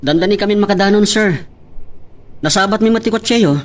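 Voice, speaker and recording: A man's voice: high voice, a little fast, natural voice. A man playing an obliging, deferential hired man in an Ilocano audio drama. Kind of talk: Ilocano radio-style drama acting.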